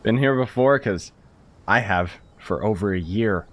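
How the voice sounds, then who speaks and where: A man's voice: lowish voice, a little slow. A man giving casual playthrough commentary as a gaming streamer, with wry, sarcastic asides.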